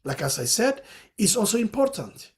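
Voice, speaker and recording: A man's voice: high-pitched, very animated, moderate pace. A man from Somalia, speaking as a panelist in a webinar discussion. He explains his points earnestly and fills his sentences with "you know".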